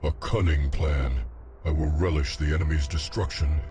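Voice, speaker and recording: Very deep, brutal spider warlord. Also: male voice, steady tone, moderate pace, mellow, a little hiss or hum. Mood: scornful, eager. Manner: haughty, menacing, formal. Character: brutal, contemptuous undead nerubian spider warlord, male. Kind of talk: fantasy game cutscene dialogue. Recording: music behind the voice.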